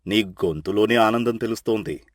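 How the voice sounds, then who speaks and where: Man acting older village father-in-law, low voice, dry close recording, measured, persuasive, calm family storybook elder. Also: no echo, lightly acted. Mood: gently reproving.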